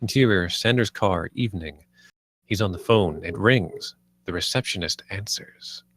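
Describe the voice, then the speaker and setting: A man's voice: sits low, normal speed, a bit bright, no room sound. A man reading stage directions as the narrator of a screenplay table read.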